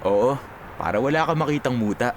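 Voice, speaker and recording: A man's voice: mid-range voice, lively intonation, fairly quick, slight background noise, lightly acted. A man acts out a Filipino radio drama leading man in his twenties. He is an earnest, playful, smitten young man with a mid-range voice, heard over background music. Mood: playful, insistent. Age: 20s. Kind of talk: Tagalog radio drama acting. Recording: music behind the dialogue.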